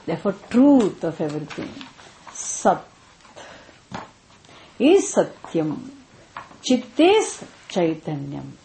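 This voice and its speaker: A woman's voice: lowish voice, sing-song, takes it slowly, long pauses, dark tone, a little hiss or hum. Indian woman, Tamil accent, middle-aged, spiritual class talk, echoey room. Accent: Indian English, Tamil. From Tamil Nadu, India. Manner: checks with listeners often, repeats key phrases. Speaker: Vedanta teacher.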